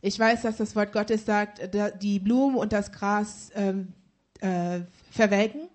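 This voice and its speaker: A woman's voice: medium pitch, a little slow, darkish tone. A woman interpreting a sermon live, phrase by phrase, with frequent hesitations and self-corrections. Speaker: church interpreter.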